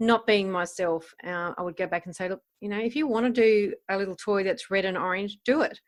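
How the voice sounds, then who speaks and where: A woman's voice: mid-range voice, fairly quick. An Australian woman speaks in a mid-range voice in a casual interview. She is plain-spoken and encouraging, in the conversational style of a podcast host. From Gold Coast, Queensland, Australia.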